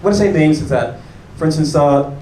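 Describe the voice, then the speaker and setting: An American man doing a stand-up comedy set on a club stage, animated and chatting with the audience. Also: on the high side, fairly quick. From United States. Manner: animated, mimics locals and chats with the audience. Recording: echoey room taped from far off, crowd noise.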